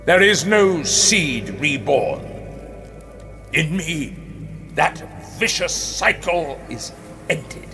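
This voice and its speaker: An actor playing a proud, menacing rabbit ruler in a fantasy game, speaking in pompous, theatrical oratory. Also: male voice, fairly high pitch, animated, very slow, long pauses, pushed and bright, exaggerated voice. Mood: righteous anger. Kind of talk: dramatic fantasy game acting. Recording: long hall reverb, music underneath. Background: music.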